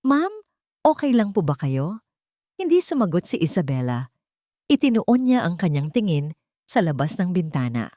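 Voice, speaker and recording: A woman's voice: low-pitched, dark tone, no room sound, clean studio sound. A woman narrating a scripted romantic story in a dramatic storytelling style.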